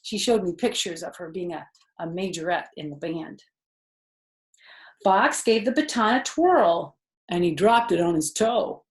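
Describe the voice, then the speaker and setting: A woman's voice: on the low side, expressive, unhurried. An older woman reading a children's storybook aloud expressively, with explanatory asides.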